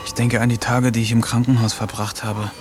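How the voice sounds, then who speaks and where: A man narrating a radio play as a diary, slow, subdued and introspective. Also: mid-range voice, level tone. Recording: noisy background. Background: ambient noise.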